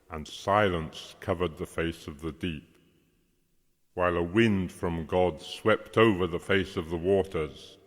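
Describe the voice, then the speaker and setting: Solemn male scripture narrator, acted, low voice, grave declamation, long hall reverb.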